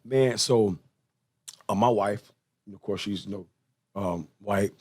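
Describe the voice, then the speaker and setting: A man's voice: on the low side, slow pace, a little mellow. A man hosting a casual chat interview, talking informally and colloquially and often repeating himself. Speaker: podcast host, interviewer.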